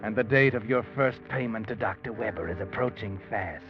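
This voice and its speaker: An actor playing an all-knowing, taunting narrator who needles the character in 1940s radio suspense style. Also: male voice, lowish voice, a little fast, close to an everyday voice. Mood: grim, pressing. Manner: taunting, dramatic, insinuating. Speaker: narrator of a crime mystery drama. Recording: muffled old radio broadcast with music.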